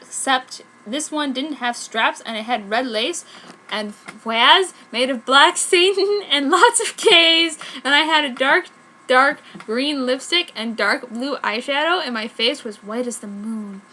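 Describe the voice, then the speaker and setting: A woman's voice: sits high, expressive, a little slow, sharp tone, a little hiss or hum. A woman narrating fan fiction in a mock-serious dramatic style, with exaggerated emphasis and stumbles over words.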